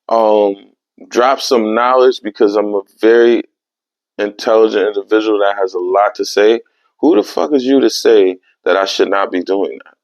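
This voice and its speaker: A young man hosting his own podcast, talking in a casual, animated way with streetwise slang. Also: sits low, no echo. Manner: animated, streetwise slang, repeats "you know what I mean". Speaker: podcast host. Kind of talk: casual solo podcast monologue. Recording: dry, slightly dull lo-fi sound.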